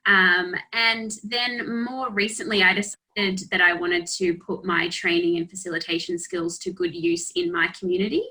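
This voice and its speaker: A woman's voice: mid-range voice, animated, moderate pace, few pauses, sharp tone, rough recording. A woman who teaches ethics, explaining her background in a friendly way with "um" fillers on a video call. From Australia. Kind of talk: casual presentation on a video call.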